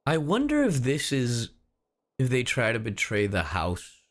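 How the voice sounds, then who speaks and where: A game streamer giving casual livestream reactions, playful, exclamatory and quick to swear. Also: mid-range voice, wildly swooping pitch.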